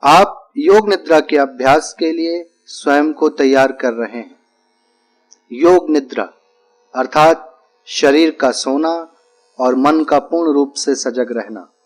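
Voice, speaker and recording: A man giving guided relaxation instructions as a yoga nidra teacher, speaking calmly and deliberately in short, repeated phrases with pauses. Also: on the high side, rough recording.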